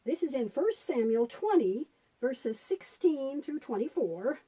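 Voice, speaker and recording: Woman as a grandmother puppet, high-pitched, expressive, patient, explanatory, children's Bible storytelling. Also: measured pace, breathy edge, heavily acted. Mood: patient, instructive. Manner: explanatory, patient, gently instructive.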